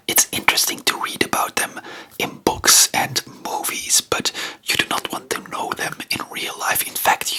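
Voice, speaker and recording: Soft breathy whisper, non-native English speaker, very slow and hesitant, informal ASMR chitchat, rambling host. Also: poor audio. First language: not English. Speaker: ASMR video creator and host.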